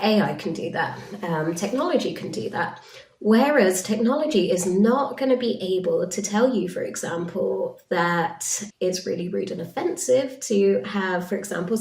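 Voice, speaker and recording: Female presenter, mid-pitched, frank and conversational, recorded in a roomy space away from the mic. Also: darkish tone. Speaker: conference presenter, industry consultant. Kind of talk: informal conference-style presentation. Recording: roomy echo, distant microphone.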